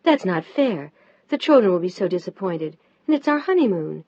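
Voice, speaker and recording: A woman voicing a devoted wife who talks gently but firmly to her husband. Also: lowish voice, sing-song, normal speed, no echo. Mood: pleading. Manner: gentle but firm, rising when pushed. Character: devoted, pleading wife of a surgeon. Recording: dull, dry lo-fi recording.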